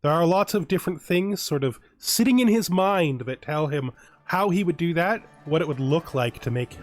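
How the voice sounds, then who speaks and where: A man hosts a strategy game video in casual commentary, thinking aloud and stumbling over and correcting his own words. His voice is somewhat high for a man. Soft music runs quietly underneath. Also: expressive, normal speed. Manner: thinking aloud, often restarting and correcting himself. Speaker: let's play host.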